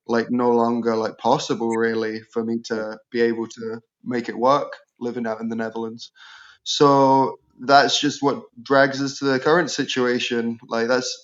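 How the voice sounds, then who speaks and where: A young man with a Scottish accent, a guest musician chatting casually and loosely, with many fillers. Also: medium pitch. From Scotland. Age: young adult. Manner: relaxed, swearing, drawn-out fillers. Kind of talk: casual chat. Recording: slightly dull lo-fi sound.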